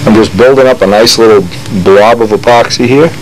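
A man's voice: mid-range voice, fairly quick, background noise. An older man, a model airplane builder, talks casually while working at his hobby workshop bench, often breaking off mid-sentence and correcting himself.

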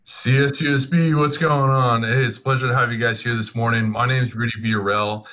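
A man's voice: medium pitch, steady tone, brisk, fairly bright tone. A man in his twenties casually introducing himself as an admissions counselor on a video call. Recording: dull video-call audio.

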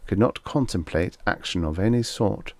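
A man narrates an audiobook in a fairly low voice with plenty of gravelly creak. He reads scripted fiction, and the sound is dry and close. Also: normal speed, no echo.

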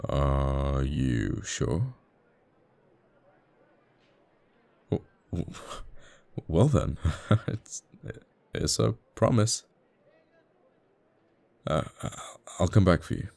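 Man acting very deep, flustered flirty fighter pilot. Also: creaky, darkish tone, full character voice. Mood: embarrassed, hopeful. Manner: halting, stammering, bashful. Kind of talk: romantic ASMR audio roleplay.

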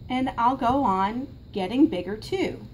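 A woman's voice: a little high, unhurried, darkish tone, natural voice. A knowing older bunny sibling from a storybook, read by a woman, patient and matter-of-fact. Everything gets explained plainly, with faint room noise around the voice. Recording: noisy classroom recording.

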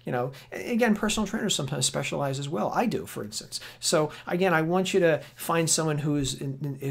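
A man giving an informal instructional lecture as a fitness educator, emphatic and conversational, repeating words for stress. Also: mid-range voice. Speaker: personal trainer and fitness educator.

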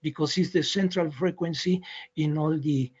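A man giving an unscripted webinar explanation in halting, non-native English, often repeating words as he searches for phrasing. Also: a little high, fairly even intonation, a little mellow, slightly rough, muffled recording. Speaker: loudspeaker brand representative presenting.

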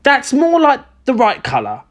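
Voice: high-pitched, huge pitch swings, moderate pace, fairly bright tone, pitched above the performer's own voice, exaggerated voice. Reader doing an earnest, eager young boy. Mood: hopeful.

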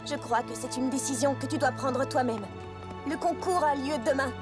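A woman voicing an earnest, sensible young female pony who gently chides, in a French cartoon dub. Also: high-pitched, moderate pace, natural voice. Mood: chiding. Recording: music bed under the dialogue.

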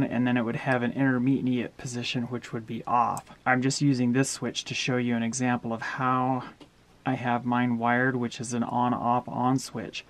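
A middle-aged man walking through a camper wiring upgrade step by step, in a steady, plain-spoken way, recorded in a small indoor space. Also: mid-range voice. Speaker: DIY camper owner explaining a wiring upgrade. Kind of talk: hands-on how-to walkthrough.

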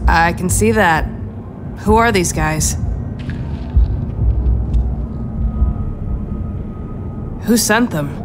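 A woman's voice: on the low side, unhurried, over music, natural voice. Woman playing a terse, tough ranger. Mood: determined. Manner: terse, assertive. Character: tough, direct female ranger hero. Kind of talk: video game dialogue acting.

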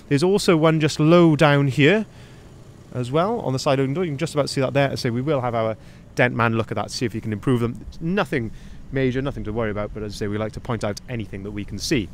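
A man's voice: mid-range voice, fast talker, a little hiss or hum. Upbeat salesman walking around a van. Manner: upbeat, detailed, pointing things out as he walks. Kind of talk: walkaround sales presentation. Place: outdoor car lot on a cold day. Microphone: handheld camera, first-person view.

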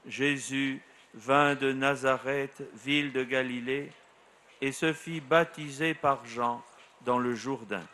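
A middle-aged man, a priest, leading a solemn recited prayer in measured, deliberate phrases. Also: mid-range voice, steady tone, sharp tone, a little hiss or hum. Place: outdoors at a grotto shrine. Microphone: microphone on a lectern.

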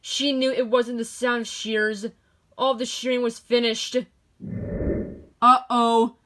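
A woman with a fairly high voice reads a children's story aloud. Her delivery is expressive, clearly shaped for storytelling. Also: takes it slowly. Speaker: storybook narrator. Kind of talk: children's read-aloud narration.

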